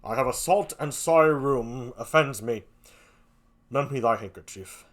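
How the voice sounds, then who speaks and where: A reader voicing Othello as a low, brooding, jealous male general, speaking slowly and heavily in a play read aloud. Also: natural voice. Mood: accusing.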